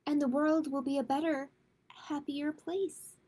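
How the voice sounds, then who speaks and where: A woman hosting a live picture-book storytime for children, speaking to viewers in simple, deliberate phrases. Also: high voice, little pitch movement, dark tone, slight background noise.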